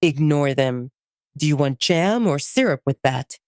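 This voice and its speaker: A commanding werewolf alpha man as a female narrator acts him, gruff and protective. The delivery drops low and grumbles in short, curt phrases, as in an audio romance drama. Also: lively intonation, measured pace, darkish tone, dry recording, clean studio sound. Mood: grumbling.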